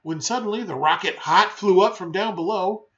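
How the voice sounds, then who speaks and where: A man's voice: sits high, normal speed, fairly bright tone, highs cut off. A man reading a children's rhyming picture book aloud in a theatrical, playful, emphatic way with brief chatty asides.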